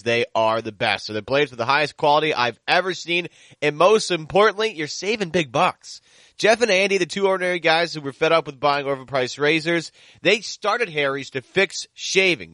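A male sports podcast host with a fairly high, bright voice. He speaks emphatically and leans on superlatives, whether reading out copy or talking basketball. The sound is dry, with very little room on it. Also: no echo. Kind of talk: sports talk and host-read ad.

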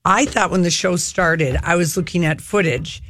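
A woman's voice: fairly low pitch, moderate pace, fairly bright tone, no echo. An enthusiastic woman hosting a casual talk show, chatting about television.

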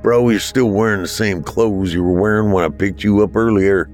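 A man's voice: fairly low pitch, normal speed, darkish tone, soft background music, close to an everyday voice. A man voicing a casual, skeptical older teenage brother in a story, slangy and exasperated. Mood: amused disbelief.

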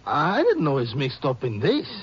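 An actor in a 1950s radio drama playing a stubborn, frightened Mexican courier with an accent and broken, evasive English. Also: male voice, mid-range voice, wildly swooping pitch, moderate pace, slightly rough, heavily acted. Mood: alarmed, pleading. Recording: muffled old 1950s radio recording.

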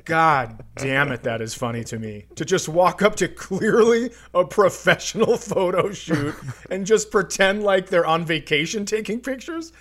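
A man's voice: sits high, measured pace, hardly any pauses, a bit bright. A man co-hosting a comedy podcast, telling stories in an amused, emphatic, profane way. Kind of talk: casual comedic podcast banter.

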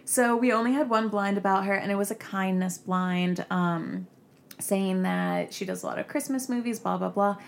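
A woman's voice: mid-range voice, big pitch swings, normal speed, gravelly, slight background noise. A young woman hosting a podcast, chatting casually and gossiping, with lots of "like" fillers. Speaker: podcast host.

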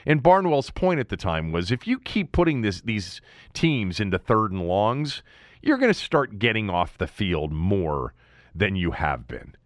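Male sports radio host, lowish voice with big swings in pitch, close dry sound, emphatic conversational analyst. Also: very animated, measured pace, dry recording. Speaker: sports talk show host.

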